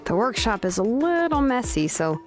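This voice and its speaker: A woman voicing a calm, bookish craftswoman in a video game, explaining things in a measured, scripted way. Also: fairly high pitch, faint music behind. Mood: matter-of-fact. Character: calm, bookish adult craftswoman who forges ancient names.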